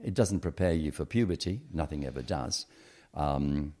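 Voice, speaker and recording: Deep-voiced man, slow and reflective. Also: mellow. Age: middle-aged. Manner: thoughtful, hesitant with frequent ums. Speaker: podcast guest. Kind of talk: reflective interview conversation.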